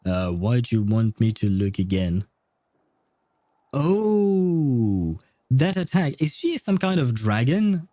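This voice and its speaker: A man chatting casually on a livestream while gaming, with a halting, rambling, amused way of talking. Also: mid-range voice, very animated, dark tone, no room sound.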